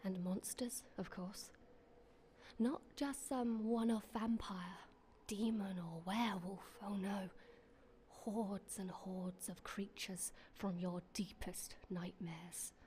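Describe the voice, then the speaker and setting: A woman plays a young English sweetheart in a period radio play, with a medium-pitched voice. She is well-spoken, teasing and dryly wry, with light ambience behind her. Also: steady tone, slow pace, mellow, slight background noise, natural voice. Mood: hushed, eerie. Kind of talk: period radio drama acting.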